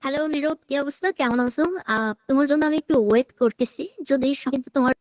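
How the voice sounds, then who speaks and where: A man's voice: very high voice, brisk, dry recording, studio quality, voice pushed up. A man voicing a young woman through an AI female voice changer, in short friendly voice messages. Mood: friendly, casual. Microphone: phone voice message replayed in screen recording.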